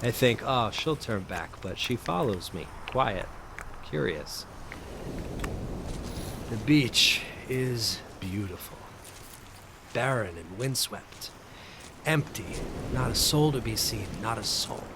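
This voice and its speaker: An actor playing a troubled, bookish, melancholy man in an audio drama, speaking and narrating in a halting, introspective way. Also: mid-range voice, expressive, background noise. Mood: reflective. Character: troubled, bookish, melancholy man revisiting childhood holiday guesthouse.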